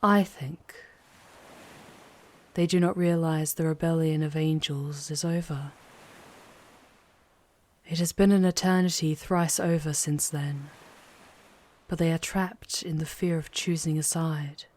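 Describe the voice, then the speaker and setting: A woman playing a charming, poised female demon guide in an intimate ASMR roleplay, speaking in a measured way with breathy, hushed touches. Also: sits low, fairly even intonation. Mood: pensive, gentle. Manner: measured, deliberate, often dropping into breathy whispers.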